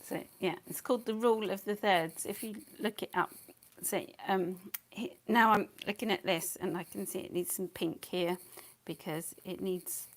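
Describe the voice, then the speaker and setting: A woman's voice: mid-range voice, normal speed, hardly any pauses. A woman losing her voice, teaching watercolour painting live in a casual, rambling way and often muttering to herself as she works. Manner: chatty, rambling, thinks aloud, often mutters under her breath.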